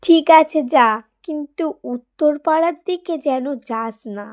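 A woman's voice: high voice, unhurried, darkish tone, pitched above the performer's own voice. A woman voicing a stern but concerned village aunt in a cartoon story, scolding and cautioning.

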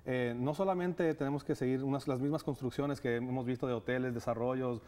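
Ecuadorian man, 30s, interview guest, mid-pitched, warm, lots of filler sounds. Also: fairly even intonation, a little fast. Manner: earnest, many "eh" fillers. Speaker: guest, nonprofit director. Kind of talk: studio interview. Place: TV studio set. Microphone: lapel mic.

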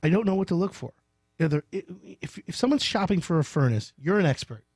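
Animated male radio host, lively voice. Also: a little high, quick pace, slightly rough, no room sound. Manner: animated, emphatic, playful storytelling. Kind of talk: radio call-in interview. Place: small radio studio. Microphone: large studio mic up close.

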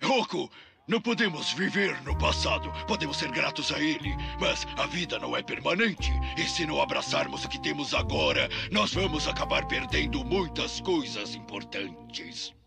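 A Brazilian man voicing an excitable, theatrical grown-up creature in a cartoon dub, with a gravelly, exaggerated delivery. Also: fairly high pitch, moderate pace, music in the background. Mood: earnest.

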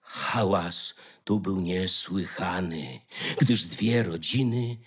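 A man's voice: low voice, very slow, husky. A man narrating a scripted fairy tale as the narrator of a radio play. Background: music.

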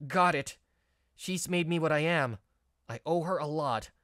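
Man playing earnest young office worker, higher-pitched. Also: a little slow, fairly bright tone, slight background noise. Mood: earnest, reflective. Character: mild, earnest, self-blaming young male office worker. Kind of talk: scripted manga story dub, narration and dialogue.

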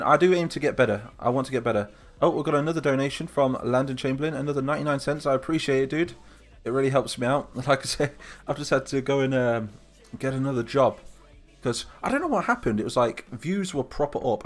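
A man with a Lincolnshire English accent, casually chatting and reading out viewer comments during a live stream while building a game map. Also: medium pitch. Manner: chatty, rambling, reads chat comments aloud.

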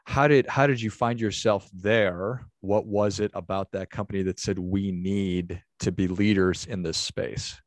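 An American man in his forties hosts a podcast interview. His voice is on the low side, recorded close and very dry, with a conversational delivery. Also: fairly even intonation, a little slow, few pauses, no room sound. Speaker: podcast host. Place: indoor room with bookshelves, video call. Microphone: close desk microphone on boom arm.

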